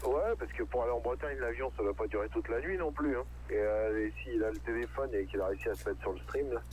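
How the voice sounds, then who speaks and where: A man's voice: mid-range voice, fast, a little mellow. A man chatting casually as a hobby radio operator, rambling in a colloquial way. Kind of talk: casual chat on amateur radio. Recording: narrow tinny radio link, faint noise.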